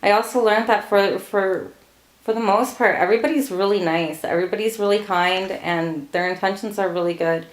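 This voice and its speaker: A woman's voice: medium pitch, moderate pace, reverberant. A woman in her late thirties, born in Portugal, answering family interview questions with frequent laughter. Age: late 30s. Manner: laughs often, relaxed storytelling.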